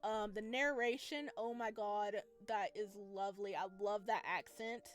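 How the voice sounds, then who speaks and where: A woman's voice: medium pitch, normal speed. A woman hosting a gaming video, giving casual, gushing, enthusiastic let's-play commentary.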